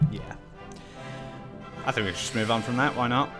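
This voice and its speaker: A man's voice: mid-range voice, normal speed, over music. A man hosting a casual Q&A with friends, reading out viewer questions in a dry, teasing way.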